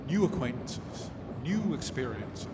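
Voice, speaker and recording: A man's voice: medium pitch, very animated, measured pace. A man playing a genteel, affectionate reverend and adventurer of 1908, with courtly, formal phrasing in an old-time radio drama style. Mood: tender, reassuring. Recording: noisy, echoey live stage recording.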